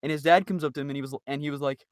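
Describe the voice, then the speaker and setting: A man's voice: a little high, steady tone, very fast, no room sound, studio quality. A man chatting casually on a podcast, rambling and repeating himself as he tells anecdotes. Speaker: podcast co-host. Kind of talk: casual chat.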